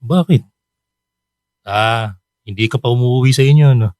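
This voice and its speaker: A man's voice: medium pitch, unhurried, darkish tone, dry recording, studio quality, lightly acted. A man playing a frank, caring friend who gives firm advice in a Filipino radio drama, with a Filipino accent. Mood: concerned. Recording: guitar music behind the voice.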